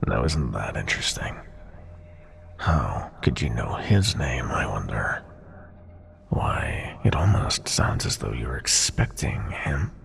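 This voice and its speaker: A man playing a sinister, predatory prince of nightmares in an ASMR roleplay, gloating in a breathy whisper with mock affection. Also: rumbling low, big pitch swings, takes it slowly, pitched below the performer's own voice, heavily acted. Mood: menacing, adoring.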